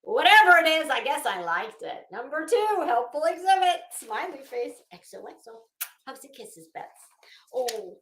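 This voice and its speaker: A woman's voice: high-pitched, very animated, moderate pace, fairly bright tone, slight background noise. A woman reading documents aloud dramatically, breaking off into sarcastic, brash, profane commentary as an online host. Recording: echoey room.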